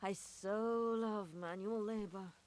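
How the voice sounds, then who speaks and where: A woman's voice: medium pitch, fairly even intonation, slow, darkish tone, natural voice. A woman playing a grumbling, sarcastic adventurer in a video game cutscene. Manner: sarcastic, dry.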